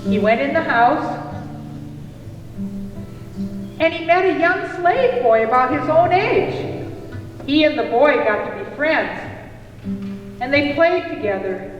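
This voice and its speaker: High, echoey motherly storyteller played by a woman. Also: muffled recording. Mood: earnest recounting. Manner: deliberate, expressive storytelling to children. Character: kindly motherly cafe keeper telling old tales to her donkey. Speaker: puppet show performer. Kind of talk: live storytelling puppet theatre. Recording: echoey hall from a distance, music behind.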